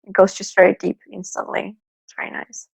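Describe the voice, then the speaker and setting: A woman co-host in a casual chat, supportive and quick to laugh. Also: medium pitch, completely flat delivery, vocal fry, slightly rough, no echo, low-quality recording. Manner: giggly, supportive, laughs often.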